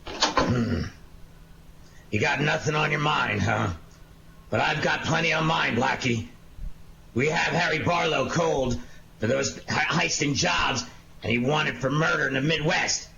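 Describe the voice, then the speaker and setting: A man playing a gruff, inquisitive police detective, blunt and slangy, in a 1940s-style radio drama. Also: a little high, a little slow, long pauses. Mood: blunt, curious. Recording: muffled lo-fi audio with clear noise.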